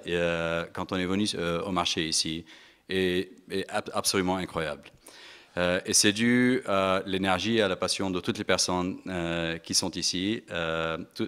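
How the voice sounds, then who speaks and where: A middle-aged man giving a speech to an audience in an event hall, halting with many fillers and restarts. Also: low voice, fairly bright tone, clear, resonant tone. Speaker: investment firm partner giving a speech. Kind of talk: conference speech.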